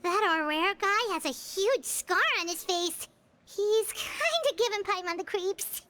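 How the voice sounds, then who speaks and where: A woman voicing a tiny, excitable, childlike female fairy companion in a video game, with a high, squeaky, bouncy voice. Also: unhurried, slight background noise, full character voice. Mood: eager. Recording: game audio over soft music.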